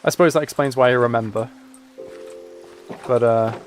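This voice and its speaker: A man's voice: medium pitch, steady tone, moderate pace, faint music behind. A man chats casually with viewers as he plays a game, rambling and musing aloud. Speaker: live streamer. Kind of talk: casual chat with viewers while gaming.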